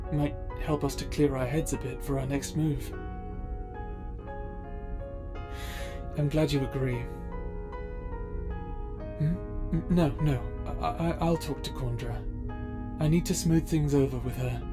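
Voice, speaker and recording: A man playing a stern, guilt-ridden space pirate officer in an audio drama, with measured, formal speech. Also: a little high, close to an everyday voice. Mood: calmer, conciliatory. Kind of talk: audio roleplay drama acting. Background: background music.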